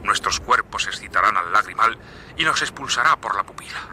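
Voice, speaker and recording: Through a noisy old radio recording comes a man playing a resolute expedition leader. He speaks low, driving his companions onward as in a suspense play. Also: lively intonation, fairly quick, close to an everyday voice. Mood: urgent. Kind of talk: vintage Spanish radio drama acting.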